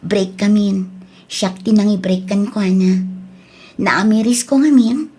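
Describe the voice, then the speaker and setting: An actress playing a young student daughter in a radio drama, earnest and emotive, with a slightly husky voice and a Filipino accent. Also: female voice, mid-range voice, steady tone, a little slow, mellow. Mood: gentle, sincere. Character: young student daughter, remorseful, firm about breaking up. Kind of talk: Ilocano radio drama acting.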